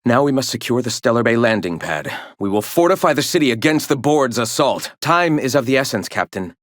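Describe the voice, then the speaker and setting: An actor playing a zealous philosopher who leads an anti-corporate rebel sect in a video game, speaking in lofty, measured, formal oratory. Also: male voice, mid-range voice, big pitch swings, no room sound, studio quality, lightly acted. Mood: resolute, rallying. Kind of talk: video game character dialogue.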